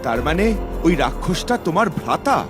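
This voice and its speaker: A man's voice: on the high side, big pitch swings, moderate pace, loud music behind the voice. A man voicing a brave, resolute young hero in a fairy-tale cartoon, with a declamatory, determined delivery. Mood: questioning.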